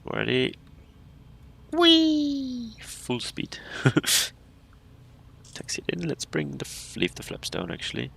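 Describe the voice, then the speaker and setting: A man's voice: a little high, wildly swooping pitch, slow pace, vocal fry, long pauses, fairly bright tone. A man giving casual running commentary while flying a simulated plane, calling out checklist steps with playful asides. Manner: talks through checklists and landings step by step, playful asides. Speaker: flight simulator streamer.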